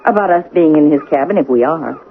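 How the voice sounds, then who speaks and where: An actress playing a chatty, nosy, suspicious wife on a cruise in a radio drama. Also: female voice, low voice, lively intonation, fast talker. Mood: puzzled, intrigued. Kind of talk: 1980 radio drama acting. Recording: tinny, noisy old radio broadcast.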